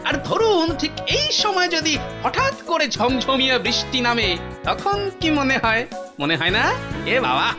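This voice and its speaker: A high, very lively cartoon jester speaks over loud music. The figure is clever and sly, explaining things coaxingly, with teasing hesitations. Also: a little slow. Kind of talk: animated cartoon dialogue.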